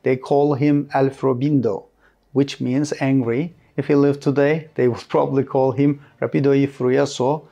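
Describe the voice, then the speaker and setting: A man with a Turkish accent, a native Turkish speaker, hosting a history channel and narrating earnestly with careful, slightly halting delivery. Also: medium pitch, vocal fry, dark tone. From Turkey.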